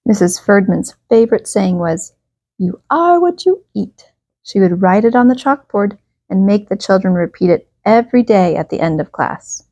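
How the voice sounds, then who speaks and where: A woman reading a children's bedtime story aloud, expressively and with emphatic, drawn-out phrases. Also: medium pitch, dark tone, no echo.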